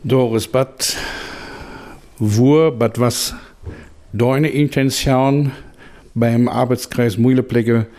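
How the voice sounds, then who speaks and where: A male interviewer, asking halting questions with fillers. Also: mid-range voice, fairly even intonation, mellow.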